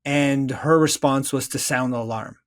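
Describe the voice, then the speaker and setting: A man's voice: medium pitch, fairly even intonation, moderate pace. A man speaking as an interview guest, reflecting in an earnest, thoughtful and explanatory way. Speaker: interview guest, therapist and author.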